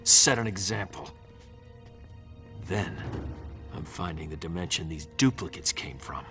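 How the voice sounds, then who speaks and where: An actor playing a tyrannical superhero turned dictator, cold, commanding and threatening, for a video game. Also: male voice, low voice, expressive, a little slow, over music. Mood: bitter, menacing.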